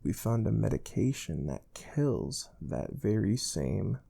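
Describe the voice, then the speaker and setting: A man playing a calm, stern space research interviewer in a soft-spoken ASMR role play, measured and formal. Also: lowish voice, creaky, husky, heavily acted. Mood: calm, instructive.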